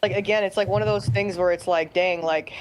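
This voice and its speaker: A woman's voice: lowish voice, fairly even intonation, fairly quick. A woman talking casually in a hesitant, rambling, slangy way. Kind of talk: casual unscripted talk. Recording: clearly noisy clip audio.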